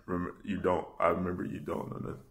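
A young man with a fairly low voice plays prank caller at a table in a small room. He talks in slang, repeats himself and keeps pushing. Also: completely flat delivery, very fast. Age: young adult. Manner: pushy, repetitive, swearing. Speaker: prank caller, video host. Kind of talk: prank phone call, slangy. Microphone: camera across the table.

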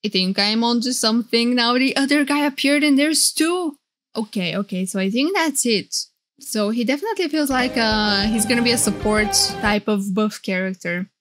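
A woman doing excited live reaction commentary as a streamer, gushing and flustered, often repeating herself. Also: a little high, moderate pace, no room sound. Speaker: VTuber streamer reacting to game trailers. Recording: game trailer music behind voice.

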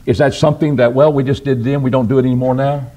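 An older man preaching a sermon from a church pulpit, asking his listeners rhetorical questions and answering them himself. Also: fast talker. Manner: lively, rises and drops in pitch for emphasis, asks rhetorical questions. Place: church auditorium at a wooden pulpit. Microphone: pulpit microphone on a stand.

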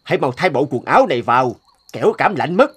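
Kindly travelling entertainer, very expressive. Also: male voice, on the high side, quick pace, breathy edge, full character voice. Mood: concerned. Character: kindly male travelling street performer. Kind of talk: animated fairy-tale dubbing.

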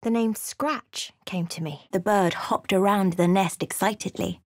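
A woman voicing a solemn adventurer who narrates a fantasy game journey in a measured storytelling manner. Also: medium pitch, no echo, clean studio sound, lightly acted.

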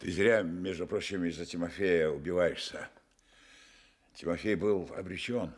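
An actor playing a calm, consoling village man, speaking plainly in a Soviet radio drama. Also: deep voice, normal speed, slightly rough. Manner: plain, colloquial, reasoning.

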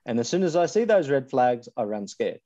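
A man's voice: mid-range voice, big pitch swings, fairly quick, mellow, dry recording. An Australian man co-teaching an online class, with a dry manner, cutting in to correct his partner. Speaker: co-instructor, metallurgist. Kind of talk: casual co-teaching banter. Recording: dull, dry lo-fi call audio.

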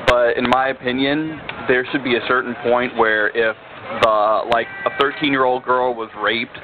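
A man's voice: medium pitch, fairly even intonation, normal speed. A young man speaking at an outdoor campus open mic, hesitant and filling with "like". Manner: hesitant, lots of "like". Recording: tinny lo-fi with loud background noise.

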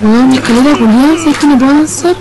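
A woman with a Chilean accent playing a game with friends, loudly complaining and repeating herself. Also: high voice, fast talker, background noise. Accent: Chilean Spanish. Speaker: gamer. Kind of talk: casual gameplay chat.

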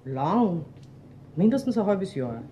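A woman's voice: fairly low pitch, very animated, slow pace, dark tone. An actress playing a coquettish, brazen Viennese landlady in a radio crime drama, cheeky and theatrical. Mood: cheeky, defensive. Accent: Viennese Austrian. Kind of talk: German-language radio crime drama acting.

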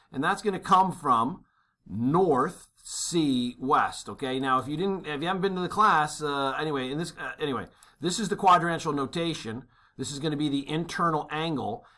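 A man's voice: medium pitch, bright, forceful tone. A man teaching a worked problem at a desk, casual and thinking aloud, often checking in with "okay?". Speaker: maritime exam instructor. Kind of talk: tutorial over a worksheet. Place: indoors at a desk.

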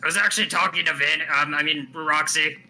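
A man's voice: on the high side, brisk, sharp tone, poor audio. A man voicing a shifty, snide animatronic alligator in a comedic game fandub, stumbling evasively over words.

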